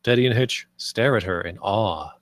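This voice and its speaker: A man's voice: lowish voice, animated, moderate pace, dry recording. A man narrating the stage directions and scene headings of a screenplay at a table read.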